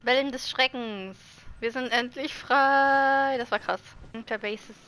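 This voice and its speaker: A woman's voice: high voice, steady tone, a little slow, fairly bright tone. A native German-speaking woman giving casual commentary as she plays a game. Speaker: streamer reacting to game.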